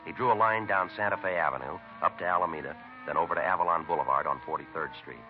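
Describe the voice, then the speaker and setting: A man playing a stoic, businesslike police sergeant in a 1950s radio drama, narrating the case in clipped, deadpan American speech. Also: low voice, quick pace, lightly acted. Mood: flat, businesslike. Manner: deadpan, terse, matter-of-fact. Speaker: lead actor and narrator. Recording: dull vintage radio recording with music.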